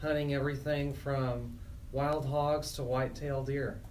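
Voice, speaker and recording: Male talk radio host, middle-aged, medium-pitched voice, slightly off-mic with some background noise. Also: little pitch movement, normal speed, a little mellow, distant mic. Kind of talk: talk radio conversation and announcements. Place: radio show, live.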